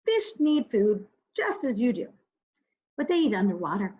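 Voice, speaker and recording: Kindergarten teacher lady doing a reading lesson for little kids, super up and down voice, slow, kinda muffled lo-fi audio. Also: a little high, very animated, a little mellow. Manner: simple, instructive, addresses children directly with questions and pauses to think aloud. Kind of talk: recorded reading mini-lesson for young children.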